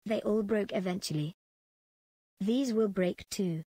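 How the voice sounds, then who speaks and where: A woman's voice: mid-range voice, measured pace, warm tone, close to an everyday voice. An actress playing a ruthless female prison commandant in a space empire, dictating clipped official log entries for a video game. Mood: cold, smug.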